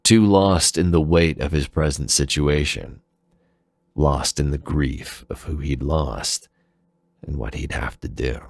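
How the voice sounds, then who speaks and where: A man's voice: a bass voice, creaky, no echo, clean studio sound. A man narrating a dramatic story in a solemn, theatrical manner.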